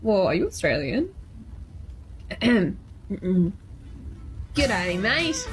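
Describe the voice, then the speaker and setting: Woman, playful, joking, lively swooping voice, slow delivery, music behind. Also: mid-range voice.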